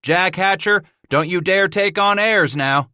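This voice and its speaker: A man's voice: high voice, a little slow, sharp tone, smooth, dry recording, studio quality, voice pushed up. A man doing a folksy, gleeful old-time trapper. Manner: folksy backwoods drawl. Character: gleeful scrappy male frontier trapper.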